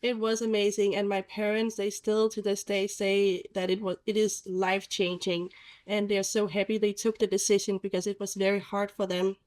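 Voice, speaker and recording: A woman in her twenties from Denmark with a Danish accent, speaking English as a second language and telling her story in an interview with earnest, halting phrasing. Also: mid-range voice, flat delivery, a little mellow, rough recording. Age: 20s. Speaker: patient support worker. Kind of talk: casual interview talk.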